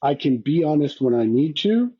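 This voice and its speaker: Husky man musing on a podcast. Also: a little high, big pitch swings, dull audio. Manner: reflective, measured, self-analyzing. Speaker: podcast co-host. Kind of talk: casual podcast chat.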